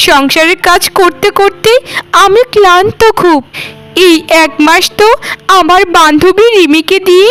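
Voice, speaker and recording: A woman's voice: very high-pitched, fairly bright tone, poor audio. A woman voicing a guilt-ridden, pleading young village sister in a melodramatic cartoon, with a plaintive delivery. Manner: plaintive, lamenting. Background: faint music.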